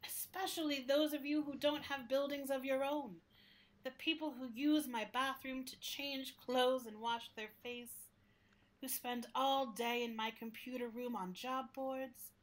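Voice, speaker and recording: Woman as high-voiced, caring talking library. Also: fairly even intonation, unhurried. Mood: tender. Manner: earnest, reflective, politely opinionated. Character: earnest, caring public library building talking as a person. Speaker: actor playing the interview guest. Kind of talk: radio play acting, conversational interview.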